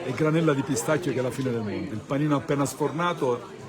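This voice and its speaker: A man's voice: mid-range voice, quick pace. Crowd chatter fills an outdoor festival stand. A man with a mid-range voice talks casually as a vendor being interviewed about his stall.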